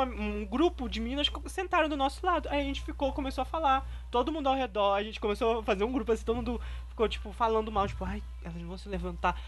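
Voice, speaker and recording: Fast-talking young woman telling stories. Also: on the high side. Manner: rapid, slangy, story-driven. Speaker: podcast co-host. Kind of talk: casual storytelling chat.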